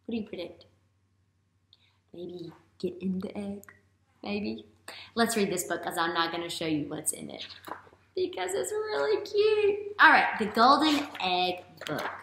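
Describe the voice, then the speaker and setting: A woman in her thirties, a teacher reading a picture book aloud to young children and asking them questions with drawn-out emphasis. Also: mid-range voice, very animated, gravelly, fairly bright tone. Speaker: elementary school teacher. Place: small classroom-like room, indoors. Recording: roomy indoor echo.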